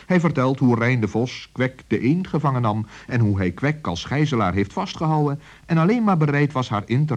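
A man's voice: fairly low pitch, darkish tone, highs cut off. A man narrating a children's story expressively, on an old recording.

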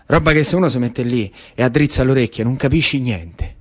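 A man's voice: mid-range voice, brisk. A man with a Roman Italian accent performing a theatrical radio monologue in colloquial speech, with rhythmic repetition. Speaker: actor-storyteller.

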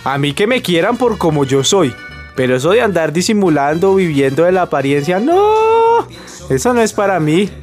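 Picky Colombian bachelor, acted by a man, high male voice, unpretentious, radio soap, music underneath. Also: sing-song, normal speed, a little mellow. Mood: defensive. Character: proud, picky bachelor who loves partying, unpretentious. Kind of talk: radio soap opera acting. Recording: music behind the voice.

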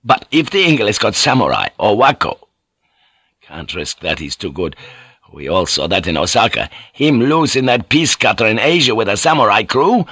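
Man doing an anguished old-world sea pilot, loud and pushing, bright edgy tone. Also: fairly low pitch, big pitch swings, normal speed, slightly rough, no room sound, clean studio sound, exaggerated voice. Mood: blunt. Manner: impassioned, confessional. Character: tormented Portuguese ship's pilot, rough, guilty. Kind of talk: audiobook character voice.

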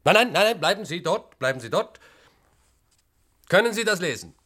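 High-voiced probing male official. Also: lively intonation, moderate pace, sharp tone, heavily acted. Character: formal male official questioning a candidate. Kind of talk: radio drama acting.

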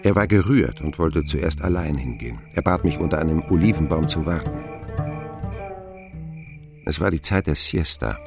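An actor playing a grown man who recalls his boyhood in measured, reflective radio drama narration. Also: extremely low pitch, gravelly, music in the background. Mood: reflective, grave.

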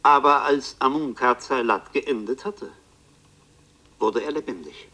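An actor playing a wry bookseller who narrates a radio play in a dry, literary manner, his voice husky. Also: mid-range voice, measured pace, bright, forceful tone. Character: wry male first-person storyteller, a Paris bookseller.

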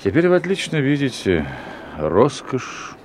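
An actor playing a sardonic, knowing stage actor in a radio play, with an ironic, theatrical way of talking. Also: male voice, mid-range voice, sing-song, a little slow, darkish tone, a little hiss or hum. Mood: sardonic, reflective.

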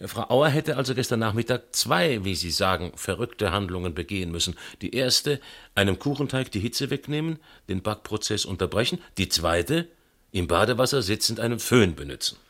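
A man playing a methodical police inspector in a radio crime drama, questioning witnesses and reasoning aloud deliberately in a husky voice. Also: fairly low pitch, lively intonation, fairly bright tone.

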